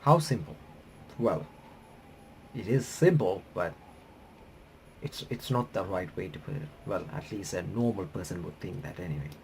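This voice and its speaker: A man doing a casual visual novel playthrough, reading the on-screen text aloud in a halting, stumbling way and reacting as he goes. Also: sits low, animated, darkish tone, slight background noise, low-quality recording. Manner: halting, stumbling reader who rereads phrases.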